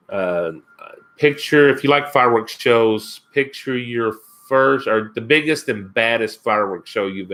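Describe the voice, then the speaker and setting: A man's voice: lowish voice, fairly bright tone. Easygoing Kentucky man chatting on a live stream. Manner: rambling, chuckles often, answers chat comments. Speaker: outdoors channel host. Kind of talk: casual live stream chat with viewers.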